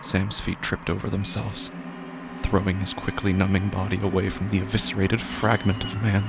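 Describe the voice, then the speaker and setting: A man narrating scripted horror fiction dramatically and gravely, with deliberate pauses and breathy drops toward a whisper. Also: deep voice, level tone, noisy background.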